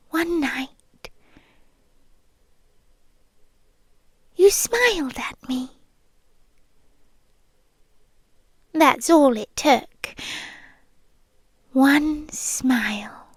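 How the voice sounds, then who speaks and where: A British woman voicing a possessive, lovesick, centuries-old vampire girlfriend in an intimate ASMR roleplay, hushed and breathy, often slipping into whispers. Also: sits high, no echo, full character voice. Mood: tender, obsessive. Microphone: close microphone, dry.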